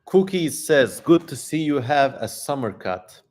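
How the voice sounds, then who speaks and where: Higher-pitched man hosting a livestream. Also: big pitch swings, measured pace, a little mellow, a little hiss or hum. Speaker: livestream host. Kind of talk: casual livestream chat, reading out viewer comments.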